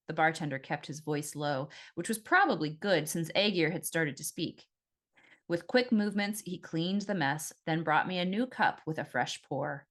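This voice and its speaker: A slightly low-voiced woman reads a first-person story aloud. Her delivery is expressive and scripted, with very animated pitch movement, as an author narrating her own audiobook.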